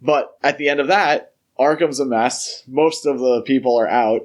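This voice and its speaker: A man's voice: mid-range voice, normal speed. A man co-hosting a podcast, discussing film casually in an analytical, thinking-aloud way. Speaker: podcast co-host.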